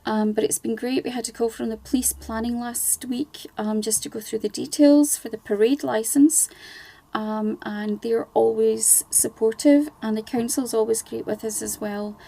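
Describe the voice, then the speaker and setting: A woman's voice: fairly high pitch, steady tone, normal speed, creaky, mellow, dry recording. A middle-aged woman from Scotland, guest on a charity radio show, chatting casually with lots of ums. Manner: chatty, many ums, laughs easily.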